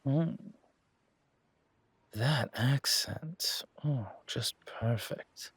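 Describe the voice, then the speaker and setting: Man playing a purring, breathy, flamboyant flirt. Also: mid-range voice, fairly bright tone, no room sound, whispered, pitched above the performer's own voice, exaggerated voice. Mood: flirtatious, amused. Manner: purring, theatrical, teasing, drawn-out words. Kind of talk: roleplay audio drama.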